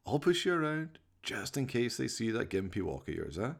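A man's voice: medium pitch, big pitch swings, normal speed, gravelly. A man playing an exasperated, sweary, scruffy bloke in a radio play, chiding in colloquial speech. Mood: coaxing. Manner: colloquial, chiding, peppered with "aye" and "eh".

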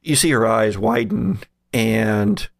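Gravelly, halting male game master. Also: fairly low pitch, no echo, studio quality. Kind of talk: improvised tabletop game narration.

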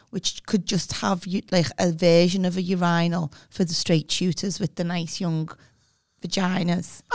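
A woman, slightly croaky, co-hosting a podcast and talking in a cheeky, outspoken way. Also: fairly low pitch, no echo. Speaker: podcast co-host. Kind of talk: casual comic chat.

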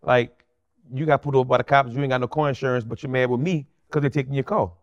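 Podcast guest: man, mid-pitched, rapid delivery, New Orleans accent, emphatic and slangy. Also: fairly even intonation, dull audio. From New Orleans, USA. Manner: blunt, slangy, emphatic with repeated phrases. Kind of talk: casual, animated interview chat.

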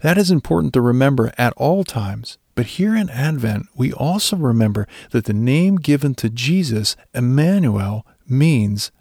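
A man's voice: medium pitch, expressive, normal speed, few pauses, darkish tone, no room sound, clean studio sound. A man reading a scripted devotional reflection as a religious speaker.

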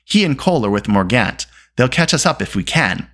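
A storyteller voicing an excitable, boastful male bard who speaks in a dramatic, effusive way. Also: medium pitch, brisk. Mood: excited.

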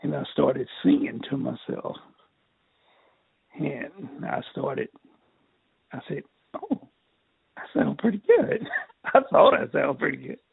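An older man from Texas with a raspy, strained voice, telling his life story in an interview in a halting way, repeating words. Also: fairly high pitch, big pitch swings, no room sound. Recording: tinny phone line.

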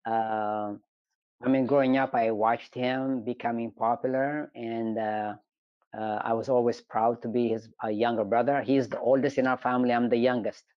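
A middle-aged man from Ethiopia speaks in a measured, storytelling way and pauses often on "uh". His pitch sits in the middle of the range. The audio is dry and slightly dull, like a remote call. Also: fairly even intonation, clear, resonant tone, no room sound. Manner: measured, storytelling, frequent hesitations. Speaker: engineering professor, guest. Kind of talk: conversational interview.

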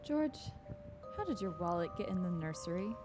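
A woman playing an anxious, gentle housewife and mother in a radio play, fretful in manner. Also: lowish voice, sing-song, moderate pace. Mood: uneasy. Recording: long reverb, music behind.

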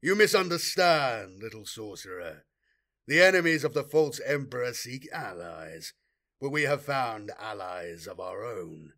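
A man's voice: medium pitch, big pitch swings, unhurried, pushed and bright, exaggerated voice. A man voicing a disdainful male warlord who speaks in a cold, dead, mocking voice.

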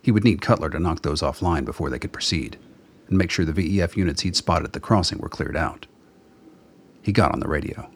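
A man's voice: rumbling low, fairly quick, slight background noise. A man reading scripted narration for a radio drama.

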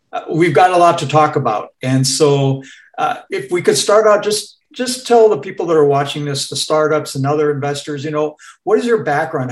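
A man hosts an interview over a video call, heaping praise on his guest with plenty of enthusiasm. His voice sits a little high for a man. The sound carries a roomy echo. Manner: enthusiastic, effusive. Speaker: interview host. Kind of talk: interview hosting.

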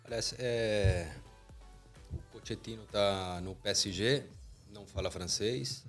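Low-voiced man, firm and emphatic. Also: slow pace, soft background music. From Argentina. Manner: firm, emphatic, searching for words. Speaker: football coaching association coordinator, guest. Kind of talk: podcast interview answers.

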